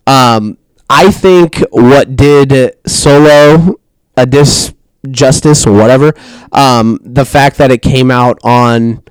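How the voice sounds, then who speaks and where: A man's voice: medium pitch, slow pace, clean tone, no room sound, poor audio. A young man hosting a casual podcast, laid-back, laughing often and swearing casually. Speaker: podcast host. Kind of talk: casual chat.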